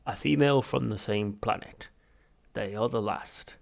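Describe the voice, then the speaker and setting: A man voicing a brutish, dim, menacing alien captain in an audiobook, croaking and rumbling in grunts. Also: measured pace. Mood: slow, grim. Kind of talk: audiobook character voice.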